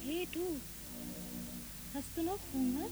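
A woman's voice: high-pitched, slow, loud music behind the voice. A woman playing an imaginative, matter-of-fact child in a poetic radio play, earnest and plain-spoken. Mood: playful, earnest.

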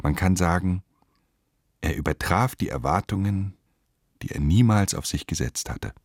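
A man narrating a literary radio play with measured, deliberate phrasing. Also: low voice, animated, a little mellow, no echo, studio quality.

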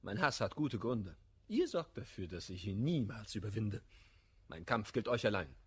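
Husky, wildly emphatic indignant gentleman. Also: fairly low pitch, very animated, normal speed, heavily acted. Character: proud, stubborn, irritable gentleman lover, blunt truth-teller. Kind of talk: German radio play, classical verse comedy.

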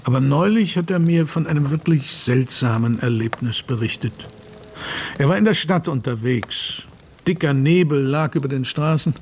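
An actor playing a thoughtful, inquiring gentleman in a radio play, measured and courteous. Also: mid-range voice, lightly acted. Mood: intrigued. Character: thoughtful, inquiring gentleman telling a strange tale. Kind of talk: radio drama acting. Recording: faint noise and ambience behind.

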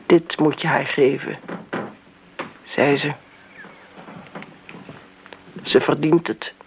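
A woman's voice: low voice, steady tone, slow, gravelly, heavily acted. An actress playing a bitter, middle-aged daughter who defends her mother in a radio play, pointed and reproachful in manner. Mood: accusing, defensive. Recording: muffled old radio recording, background hiss.

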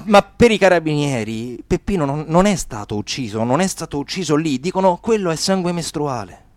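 A man's voice: mid-range voice, no room sound. Dramatic male radio theatre narrator, close and dry. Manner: theatrical, swinging from hushed to emphatic.

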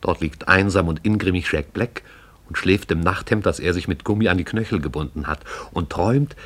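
A deep, husky man narrates a radio play. His delivery is lyrical and measured. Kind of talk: poetic radio drama narration.

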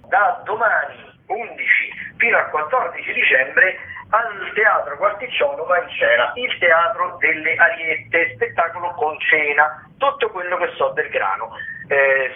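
A man hosting radio theatre listings in an informal, improvised way, rambling and hesitant, often correcting himself. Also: a little high. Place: radio broadcast. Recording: tinny, narrow-band radio or phone sound.

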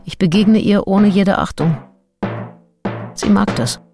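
A woman's voice: medium pitch, slow pace, music in the background. An actress playing a self-assured, seductive nineteenth-century woman diarist, frank and intimate, in a radio play. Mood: teasing, seductive. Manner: frank, matter-of-fact, intimate. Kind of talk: radio play, first-person diary reading.

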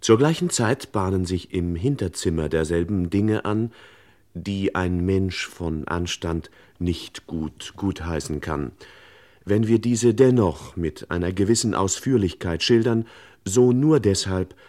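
An actor playing a wry, pompous, mock-solemn narrator of a comic crime radio play. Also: male voice, low-pitched, unhurried, a little mellow.

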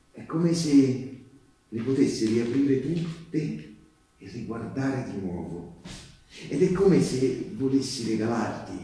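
A man performing a theatrical monologue as a wistful Resistance partisan recalling the war, confiding and halting, with drawn-out phrases and repetitions. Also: on the low side, dark tone. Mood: solemn, wistful. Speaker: stage actor performing a monologue. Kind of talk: theatrical narrative monologue, intimate and colloquial. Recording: very echoey, music playing behind the voice. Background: live guitar music.

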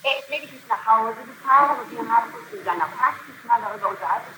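A woman's voice: on the high side, fast talker. A middle-aged woman being questioned as a suspect, defending herself insistently and repeating her points emphatically. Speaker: suspect under interrogation. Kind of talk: defensive testimony in an interrogation. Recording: noisy archival tape recording.

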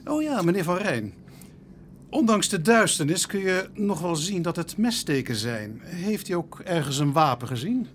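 An actor playing a world-weary police inspector in a radio play, with a dry, teasing, sighing manner. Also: male voice, sits high, big pitch swings, moderate pace, slight background noise. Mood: businesslike. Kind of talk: Dutch radio play acting.

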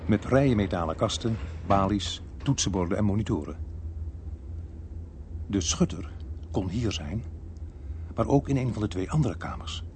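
A man playing a police officer who narrates a crime radio drama in a measured, suspenseful way. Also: lowish voice, expressive, a little mellow. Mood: tense, measured. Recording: noisy archived radio drama recording.

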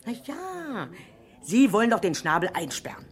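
An actress playing an agitated, gossipy, resentful eyewitness in a German radio crime drama, chatty and insistent. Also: female voice, mid-range voice, sing-song, normal speed, close to an everyday voice. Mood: indignant, gossipy.

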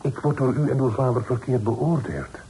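An actor playing an earnest, secretive grown son, formal and composed, in a period radio drama. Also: male voice, mid-range voice, moderate pace, dark tone, close to an everyday voice. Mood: earnest, pleading. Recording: noisy old radio play recording.

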